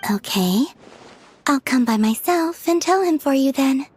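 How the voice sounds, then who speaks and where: A woman voicing a gentle, guileless young woman in a video game, soft and at times breathy, serene and frank. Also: high-pitched, lively intonation, a little slow, soft background music. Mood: innocently frank. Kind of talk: video game story acting.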